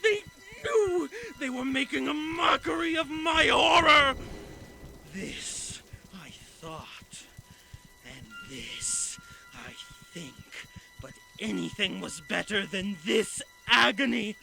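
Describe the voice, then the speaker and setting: An actor playing a mad, guilt-ridden murderer giving a theatrical confession in a gothic horror audio drama, with deliberate, intense delivery. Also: male voice, very high-pitched, animated, vocal fry, soft background music, exaggerated voice. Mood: frantic, raving, then shrieking agony. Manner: theatrical, deliberate, swinging between hushed confiding and shrieking.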